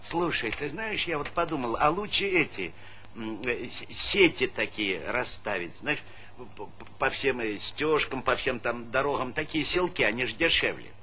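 A brisk, wry collective farm chairman in a Soviet stage comedy. His voice is sharp and forceful at an ordinary male pitch, teasing and then bossy. Faint noise sits on the old theatre recording. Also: animated. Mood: wry, businesslike.

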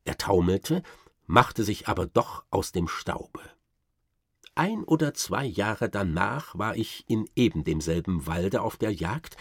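A man playing a boastful nobleman huntsman who tells tall tales with theatrical, self-satisfied emphasis in a radio drama, his voice gravelly and husky. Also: lowish voice, no room sound, studio quality, exaggerated voice. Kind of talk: dramatic literary storytelling for radio.

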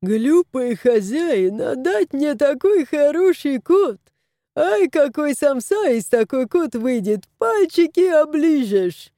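A woman's voice: sits high, sing-song, warm tone, dry recording, clean studio sound, heavily acted. A woman doing a sly old vet in broken speech. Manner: broken Russian, slow, sly, giggling.

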